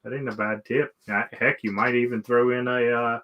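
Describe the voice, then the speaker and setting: A man's voice: medium pitch, level tone, moderate pace, lo-fi sound. A man with an American accent hosting a casual chat, asking questions in a folksy, plain-spoken way. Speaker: live stream host.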